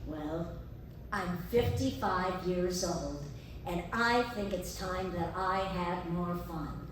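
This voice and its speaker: Lady doing a happy middle aged woman, slow, echoey and noisy, far from mic. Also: fairly low pitch, voice pushed down. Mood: contented. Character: contented middle-aged woman, warm-hearted about work and life. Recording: echoey hall, distant, noisy.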